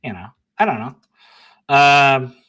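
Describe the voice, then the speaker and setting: A man's voice: mid-range voice, slow pace. A young man hosting his own channel, reading out an email exchange with blunt, sarcastic, self-mocking commentary. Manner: animated, sarcastic, laughs at himself, bickers with himself; blunt, argumentative when reading his replies. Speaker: channel host. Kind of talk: casual solo commentary, reading emails aloud.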